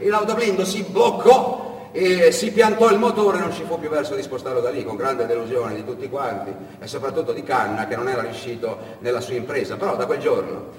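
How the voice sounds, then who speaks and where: A man narrating a dramatic stage monologue as an actor, with deliberate pauses and swells. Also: mid-range voice. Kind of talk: theatrical storytelling monologue. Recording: echoey hall, distant mic, faint noise.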